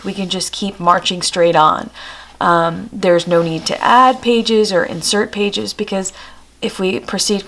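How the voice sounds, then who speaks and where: A homeschooling mother with a hoarse voice from a cold, chatting through a friendly show-and-tell review on her vlog. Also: fairly low pitch. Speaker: homeschool vlogger. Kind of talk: casual product review.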